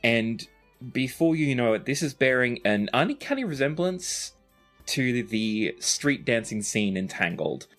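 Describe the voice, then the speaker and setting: A man's voice: medium pitch, sing-song, unhurried, fairly bright tone, soft background music. A man narrating a tabletop roleplaying game as game master, improvising scenes in a conversational way.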